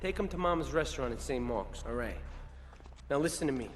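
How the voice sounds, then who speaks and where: An actor playing a cocky, streetwise mob crook who hands out jobs in a video game cutscene. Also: male voice, medium pitch, expressive, a little fast, lightly acted. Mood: smooth, chummy. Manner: slangy streetwise wiseguy talk. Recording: long reverb, noisy game ambience.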